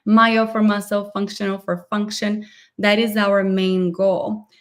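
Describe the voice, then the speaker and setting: A woman's voice: mid-range voice, flat delivery, a little slow. Fluent woman host explaining therapy. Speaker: podcast host, myofunctional therapist. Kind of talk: live podcast interview.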